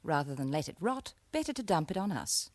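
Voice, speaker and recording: A woman's voice: deep voice, sing-song, fairly quick, darkish tone. A woman playing a sardonic, sceptical narrator in a satirical radio drama, wry and rhetorical. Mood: scornful. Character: sardonic, sceptical narrator commenting on those in power.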